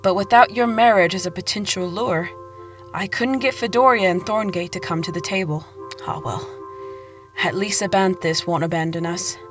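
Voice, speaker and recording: Casting: woman as calculating queen mother, lower-pitched, formal fantasy drama delivery, soft music beneath. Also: normal speed, close to an everyday voice. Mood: formal, reproachful. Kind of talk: fan dub drama acting. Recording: light music behind the voice.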